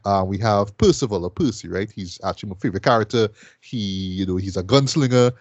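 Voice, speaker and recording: A man's voice: fairly low pitch, brisk, a little mellow, no room sound, dull audio. A man from Trinidad, casually reviewing a show as a podcast host, rambling with lots of fillers and "right?" tags.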